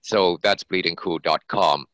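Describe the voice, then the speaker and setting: Deep, crisp-edged male author. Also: measured pace, fairly bright tone, no echo. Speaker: author being interviewed as a guest. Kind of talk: conversational interview answers.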